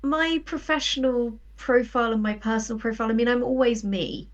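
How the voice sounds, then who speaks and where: A woman in her thirties chatting casually as an interview guest, telling things in an animated way and acting out imagined quotes. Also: on the high side, a little fast, distant mic, highs cut off. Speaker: guest, writer.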